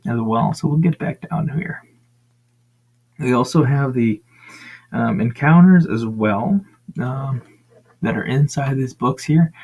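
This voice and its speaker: A man with a raspy voice casually talking through crowdfunding pages for tabletop games, with lots of ums and uhs. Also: medium pitch, poor audio. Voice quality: frequent ums and uhs, relaxed rambling. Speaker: tabletop gaming channel host. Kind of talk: casual screen-share review.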